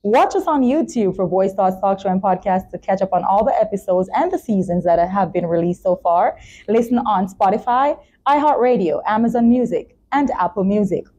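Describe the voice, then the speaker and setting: A woman's voice: medium pitch, lively intonation, moderate pace, dark tone. A woman hosting a talk show, presenting and interviewing in an encouraging way.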